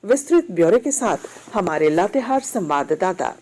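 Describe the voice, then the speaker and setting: A woman reads a scripted news bulletin as a radio newsreader, in a formal, measured manner. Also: mid-range voice, very animated, darkish tone.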